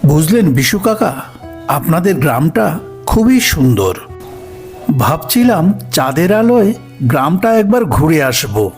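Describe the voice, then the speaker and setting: A man's voice: fairly high pitch, sing-song, unhurried, pauses often, darkish tone, faint music behind. A man voicing a polite, skeptical young college student in a cartoon, friendly and easygoing. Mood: appreciative. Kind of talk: cartoon voice acting.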